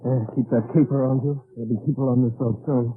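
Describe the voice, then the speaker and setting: An actor playing a cautious secret agent and loyal partner in an old-time radio drama. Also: male voice, mid-range voice, fairly even intonation, fast, natural voice. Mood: concerned. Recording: tinny, noisy old radio recording.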